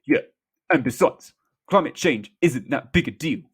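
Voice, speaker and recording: Man acting a pompous male politician, blustering, grumbling, scoffing, loud comic sketch delivery. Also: mid-range voice, animated, unhurried, husky, voice pushed up. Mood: dismissive. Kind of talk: comic script read-through.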